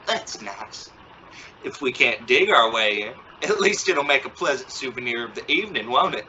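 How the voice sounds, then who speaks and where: An exasperated, sarcastic middle-aged dad, acted by a man, whose pitch jumps around as he blusters with false confidence. It is old-time radio sitcom style, with a dull sound and clear background noise. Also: lively intonation, normal speed, bright, forceful tone. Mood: dry, sarcastic. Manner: sarcastic, flustered stammering. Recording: dull, noisy lo-fi radio play recording.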